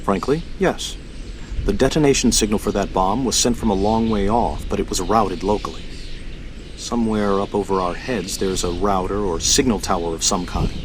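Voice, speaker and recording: A man's voice: lowish voice, moderate pace, a little hiss or hum, natural voice. An actor playing a matter-of-fact male mutant inventor in a video game, explaining things in practical terms. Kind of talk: video game cutscene acting.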